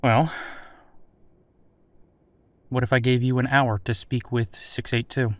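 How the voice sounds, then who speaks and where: Audio drama captain, male actor: patient, polite interviewer, conversational delivery, medium pitch, dry studio sound. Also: little pitch movement, slow, no echo, lightly acted. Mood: polite, measured.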